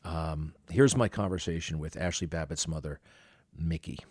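A man hosting an interview, asking rapid, halting questions full of fillers. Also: a bass voice, a little mellow, husky, no echo, studio quality. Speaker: interview host. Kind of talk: podcast interview.

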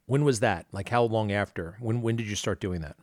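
A man hosting an interview, asking rapid, halting questions full of fillers. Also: lowish voice, darkish tone, no room sound, clean studio sound. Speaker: interview host. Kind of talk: podcast interview.

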